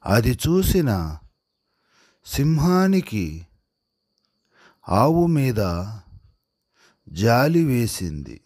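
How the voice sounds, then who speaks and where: A man narrates a simple story as if dictating it for a writing lesson. His delivery is extremely slow and broken into single words and short phrases, with a lively rise and fall in pitch. The sound is close and dry, with very little room. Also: no echo. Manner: deliberate, pausing word by word. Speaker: handwriting lesson presenter. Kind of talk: slow dictation-style storytelling read aloud while writing.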